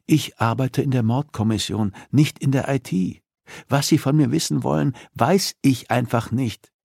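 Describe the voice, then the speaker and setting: A man's voice: medium pitch, moderate pace, creaky, darkish tone, slightly rough, dry recording, clean studio sound, pitched above the performer's own voice. A man narrating an audiobook, voicing a captive female homicide detective who is defensive, stubborn and strained under interrogation.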